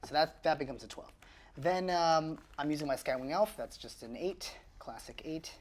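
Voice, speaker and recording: A man playing a tabletop roleplaying game, chatting casually and hesitantly thinking his moves out loud. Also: fairly high pitch, normal speed. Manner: animated, hesitant while working things out.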